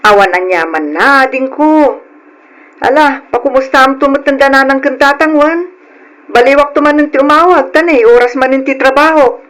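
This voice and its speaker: A woman's voice: high-pitched, normal speed, fairly bright tone, low-quality recording. A woman playing a caring, timid young Filipina working abroad in an old-style radio drama. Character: young Filipina migrant worker, caring older sister, timid. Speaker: narrator and lead of a letter drama.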